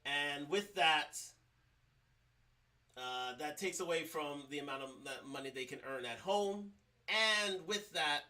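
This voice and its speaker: A man hosting a livestream, commenting on played clips in a halting way with many restarts. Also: on the high side, sharp tone. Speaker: livestream host.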